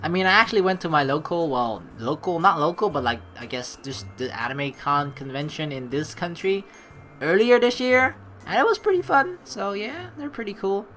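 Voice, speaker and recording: A woman's voice: lowish voice, expressive, normal speed, fairly bright tone, slight background noise. A young woman doing a playful let's play, switching between casual commentary and theatrical narration read aloud.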